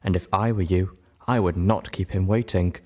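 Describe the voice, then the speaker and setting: A man's voice: low-pitched, steady tone, normal speed, darkish tone. A man voicing a haughty female unicorn pony, lofty, silky and dramatic, in a storybook reading.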